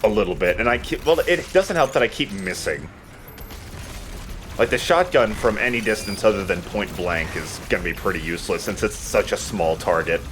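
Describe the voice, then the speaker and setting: A man's voice: lowish voice, expressive, normal speed, a bit bright, slight background noise. A man giving casual, conversational gameplay commentary as a let's play host.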